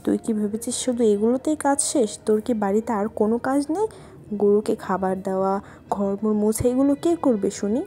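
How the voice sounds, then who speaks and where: A woman's voice: medium pitch, a little fast, dark tone, natural voice. A woman voicing a bossy, nagging village stepmother in a cartoon moral story. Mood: angry scolding. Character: harsh, scolding village stepmother, doting on her own daughter.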